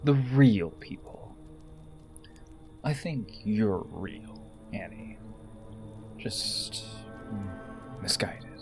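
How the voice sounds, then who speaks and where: A man acting a coldly taunting, possessively fond rebel with hushed, deliberate menace in an audio drama. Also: lowish voice, lively intonation, vocal fry, music in the background, heavily acted. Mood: persuasive, cold.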